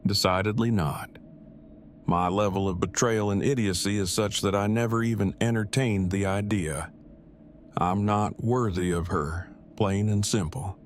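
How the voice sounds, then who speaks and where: A man's voice: on the low side, steady tone, measured pace, a little hiss or hum, lightly acted. A man playing a wordy, self-deprecating, heartbroken nerd who speaks in stilted formal phrases in an audio drama. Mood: resigned, self-deprecating.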